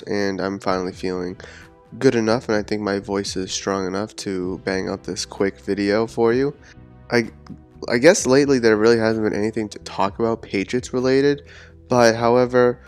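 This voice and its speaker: A man's voice: fairly low pitch, smooth. A man hosting a solo sports podcast, talking in an earnest, rambling way while recovering from a cold. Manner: earnest, rambling with repeated words. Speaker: podcast host.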